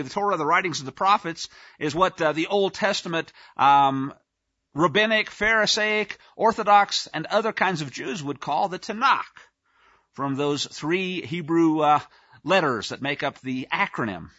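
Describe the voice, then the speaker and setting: A man's voice: fairly high pitch, pushed and bright, dry recording, highs cut off. A male preacher giving an informal teaching sermon in a folksy, conversational way with sarcastic asides.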